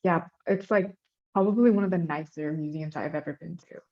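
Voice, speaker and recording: A woman's voice: on the low side, brisk. Young woman interviewer, filler-heavy questions. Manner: friendly, filler-heavy, with many ums and likes. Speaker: student interviewer. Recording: slightly dull lo-fi audio.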